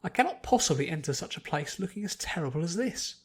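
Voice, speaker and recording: A man's voice: fairly high pitch, big pitch swings, quick pace, breathy edge, full character voice. A man voicing a genteel, theatrical young lady unicorn with a love of fashion in a story reading. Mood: dismayed.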